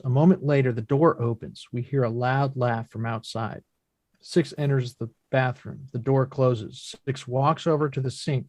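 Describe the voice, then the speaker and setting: A man's voice: mid-range voice, darkish tone, no echo. Man narrating, close and dry. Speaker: stage directions reader. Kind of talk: scripted narration at a table read.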